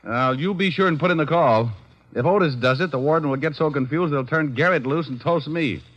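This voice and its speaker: An actor playing a wisecracking, hard-boiled private detective with a dry, clipped delivery in an old radio drama. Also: male voice, on the high side, fairly quick, a bit bright, lightly acted. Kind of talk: 1950s radio detective acting and narration. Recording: dull vintage radio recording.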